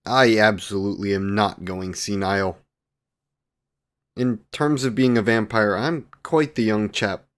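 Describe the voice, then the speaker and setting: Man playing a slow-spoken, low-voiced courtly vampire boyfriend. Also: clean tone, lightly acted. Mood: flustered, indignant. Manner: archaic formal wording, tender endearments. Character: courtly, devoted 128-year-old male vampire boyfriend, youthful, doting. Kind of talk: scripted romantic comfort audio roleplay.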